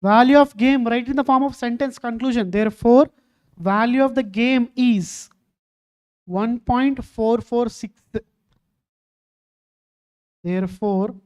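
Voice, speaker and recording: Mellow-voiced woman teaching. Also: fairly high pitch, takes it slowly, long pauses. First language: Telugu. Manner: keeps quizzing students, calling them "ma". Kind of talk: online exam-prep lecture.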